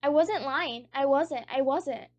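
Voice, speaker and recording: Woman acting very high-voiced eager little boy. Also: unhurried, a little mellow, lo-fi sound. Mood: indignant. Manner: childlike, insistent. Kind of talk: radio drama acting.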